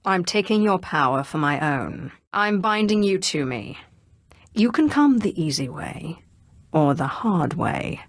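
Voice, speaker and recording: A woman's voice: on the low side, very animated, measured pace, dry recording, clean studio sound, exaggerated voice. A woman voicing a ruthless, sardonic Sith sorceress in a video game, haughty, cool and ambitious. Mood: cold menace. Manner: haughty, cool, dryly sarcastic. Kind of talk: scripted video game voice acting.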